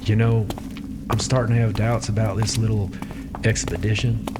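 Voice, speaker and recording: An actor playing a young war chief in a fantasy audio drama, wary and tactful, with hesitant, folksy politeness. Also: male voice, fairly low pitch, little pitch movement, normal speed, very noisy, close to an everyday voice. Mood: weary, polite.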